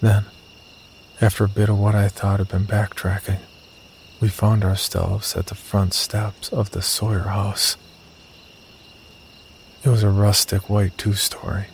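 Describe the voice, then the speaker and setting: A man playing the uneasy, cautious narrator of a scripted horror story, telling it in a gravelly voice. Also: on the low side, darkish tone, a little hiss or hum. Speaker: first-person narrator and lead character.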